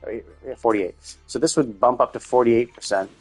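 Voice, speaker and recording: A man's voice: mid-range voice, brisk, slightly rough, faint music behind, rough recording. A man chatting casually on a gaming livestream in a rambling, conversational way, with loose, casually profane talk. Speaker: live streamer.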